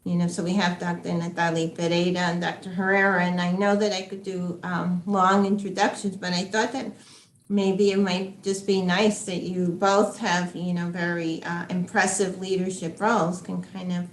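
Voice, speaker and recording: A woman with a fairly low voice moderates a panel, her speech halting and full of restarts. The room around her rings with echo. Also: level tone. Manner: hesitant, many fillers and restarts. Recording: echoey room sound.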